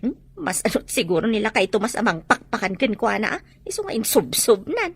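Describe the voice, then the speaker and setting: A woman plays a blunt village gossip. Her voice is high yet husky, delivered in comedy-drama style. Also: big pitch swings, a little fast, heavily acted. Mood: gossipy. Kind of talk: Ilocano comedy-drama voice acting.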